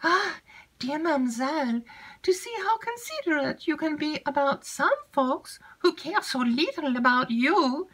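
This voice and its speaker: A gossiping young maid who rattles on with drawn-out emphasis, as a woman reading the story puts her on. Her voice sits far up at a squeaky height and rings bright and sharp. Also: lively intonation. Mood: chattering. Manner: breathless chatter with drawn-out emphasis. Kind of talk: audiobook character reading.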